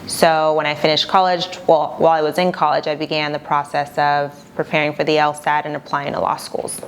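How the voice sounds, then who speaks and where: A young woman attorney from Argentina answering interview questions in a calm, measured, plain-spoken way. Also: deep voice, slight background noise.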